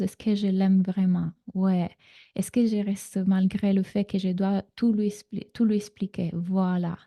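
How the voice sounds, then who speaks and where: Italian woman coaching, with a gravelly edge. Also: medium pitch. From Italy. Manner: warm, reassuring coaching talk with frequent fillers. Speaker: relationship coach. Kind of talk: live online masterclass.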